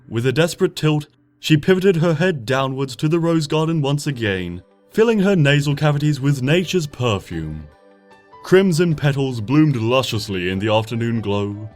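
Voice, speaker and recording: A man narrates a fan story with a lively, swooping delivery, rising for the dramatic moments and sinking low and quiet for the tender ones. His voice sits at a middle pitch. Gentle music plays faintly underneath. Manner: lively, dramatic storytelling with soft, hushed passages. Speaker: fanfiction reader. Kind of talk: expressive audiobook narration. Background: soft background music.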